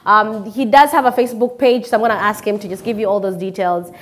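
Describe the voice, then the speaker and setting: A woman's voice: mid-range voice, steady tone, quick pace, a little hiss or hum. A woman, hosting a conversational interview in an encouraging way and repeating phrases for emphasis. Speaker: talk show host. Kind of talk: conversational interview, reading viewer messages. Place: indoor television studio. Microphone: lapel mic.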